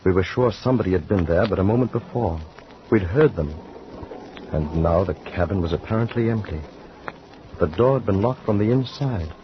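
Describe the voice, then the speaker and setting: A nervous narrating passenger. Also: male voice, low-pitched, normal speed. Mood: grim narration. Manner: nervous, excitable. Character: anxious young male passenger who narrates. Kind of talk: 1940s radio acting. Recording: dull noisy old radio recording.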